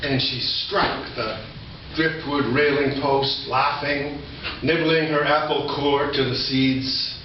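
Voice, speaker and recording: An older man presents his poetry at a live reading. His voice sits at an average pitch. It comes from far off in a very echoey space, with loud noise over the recording. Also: fairly even intonation, slow pace. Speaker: poet. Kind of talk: poetry reading with informal spoken introduction. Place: indoor reading venue, recorded from a distance. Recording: echoey room, distant mic, dull, noisy.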